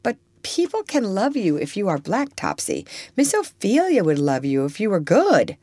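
A woman voicing a gentle, frail little girl in a storybook, earnest, loving and sad, pleading tenderly. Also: mid-range voice, wildly swooping pitch, normal speed, dry recording, voice pushed up. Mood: tender, sorrowful.

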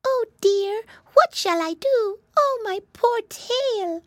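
A woman voicing a little girl mouse in a children's storybook, squeaky, plaintive and earnest. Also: big pitch swings, unhurried, a bit bright, dry recording, studio quality, full character voice. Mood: distressed.

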